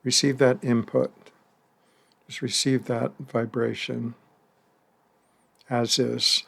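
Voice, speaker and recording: A man leading a guided meditation, speaking slowly and gently with long pauses and the odd soft laugh. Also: mid-range voice, little pitch movement, gravelly, slightly rough. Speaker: meditation teacher.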